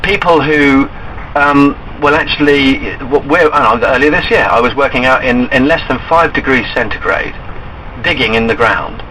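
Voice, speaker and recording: Insistent man with a bright, forceful voice. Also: medium pitch, normal speed. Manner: insistent, earnest, presses his points. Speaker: caller. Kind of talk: argumentative phone conversation. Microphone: telephone call. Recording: noisy recorded phone call.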